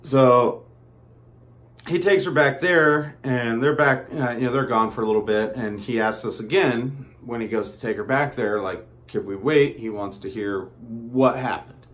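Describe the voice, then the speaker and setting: A man telling a personal story casually, in a rambling, halting way with frequent asides. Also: medium pitch, fairly bright tone.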